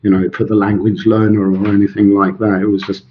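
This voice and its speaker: A man in his forties, born in Sweden with Swedish as his first language, a teacher and author talking in an interview, thoughtful and full of pauses and fillers. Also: lowish voice, flat delivery, muffled recording, a little hiss or hum. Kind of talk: interview, casual talk.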